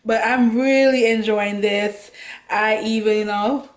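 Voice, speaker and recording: A woman with a hoarse, worn voice and a Jamaican accent, chatting casually as a vlog host and laughing now and then. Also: on the high side, unhurried, reverberant. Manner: laughs often, relaxed chatty talk. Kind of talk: casual chat about books and cooking.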